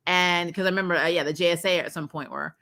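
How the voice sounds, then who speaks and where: A woman hosting a casual review livestream, chatting to viewers in an animated, opinionated and emphatic way. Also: on the low side. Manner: animated, opinionated, quick to laugh. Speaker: host of a TV show review livestream. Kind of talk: casual livestream chat, reacting to episodes and reading viewer comments.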